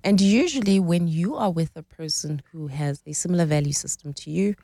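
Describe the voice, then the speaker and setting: A woman's voice: on the low side, fairly quick, warm tone, no echo, studio quality. A woman in her forties, explaining relationship dynamics as a guest in a conversational interview, often checking in with "right?". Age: 40s.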